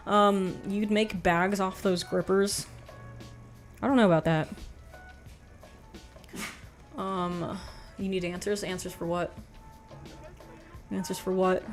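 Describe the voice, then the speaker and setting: A young woman hosts a livestream in a soft, quiet voice. She rambles through chat with frank, offhand remarks and plenty of "like". Faint music plays behind her. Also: mid-range voice, level tone, unhurried, long pauses. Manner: rambling, frank, laughs often, says "like" a lot. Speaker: livestream host reading chat. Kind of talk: casual chat.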